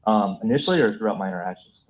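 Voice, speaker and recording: A man's voice: on the low side, expressive, racing, dull audio, slight background noise. A man, a police officer, testifying in a courtroom, hesitant with many ums and restarts.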